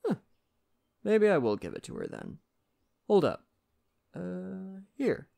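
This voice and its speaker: A man acts out a friendly lumberjack in an intimate ASMR roleplay. His voice is soft and unhurried, with gravelly creak at the edges. The pitch moves in an animated way as he gently reassures the listener in plain, folksy fashion. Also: full character voice. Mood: warm, modest. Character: friendly Canadian lumberjack, gentle nature-loving father.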